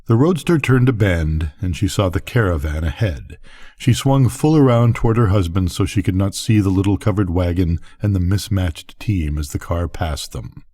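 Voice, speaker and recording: A man's voice: low voice, animated, normal speed, mellow, husky. An older man reading a bedtime story aloud as its narrator.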